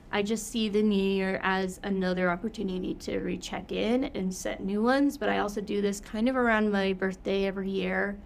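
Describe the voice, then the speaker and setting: A woman's voice: mid-range voice, slight background noise. Young woman co-host with a cold. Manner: upbeat, thinking aloud with fillers. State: has a cold. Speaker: podcast co-host, yoga teacher. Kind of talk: casual podcast chat.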